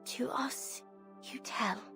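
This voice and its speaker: An actress playing a devout, vulnerable young athlete in a game, praying in a halting, reverent way. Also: female voice, sharp tone, soft background music.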